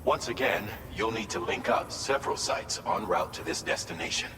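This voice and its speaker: An actor playing a composed mission handler in a video game, giving measured, formal briefings. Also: male voice, lowish voice, animated, noisy background. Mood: composed, instructive. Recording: echoing, long reverb, music behind.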